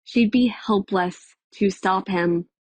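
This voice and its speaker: A woman narrating a dramatic audiobook in a measured, expressive storytelling manner. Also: medium pitch, no room sound. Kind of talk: scripted dramatic fiction narration.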